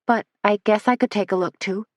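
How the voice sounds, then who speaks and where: A woman's voice: steady tone, fairly quick, no room sound, clean studio sound, lightly acted. A woman reading the lines of a flustered young female assistant, her voice raised and words tumbling out. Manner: breathless, words tumbling out, higher pitched.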